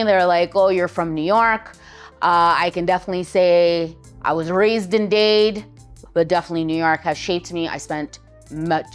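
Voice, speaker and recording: A middle-aged woman speaks with an American accent and a fairly low voice, chatty and easygoing, filling her sentences with "um" and "like." Soft music plays faintly behind her. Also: clear, resonant tone. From Poland. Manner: relaxed, chatty, lots of fillers like "um" and "like". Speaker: interview guest, lawyer. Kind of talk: casual interview storytelling.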